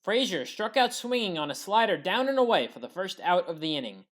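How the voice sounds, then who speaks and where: A woman delivering a scripted play-by-play recap as a sports highlights commentator. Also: big pitch swings, fairly quick.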